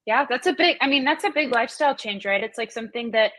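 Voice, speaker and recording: A woman's voice: a little high, fast, fairly bright tone. A woman podcast host, a native Spanish speaker from Colombia, interviewing in a casual, appreciative and affirming way.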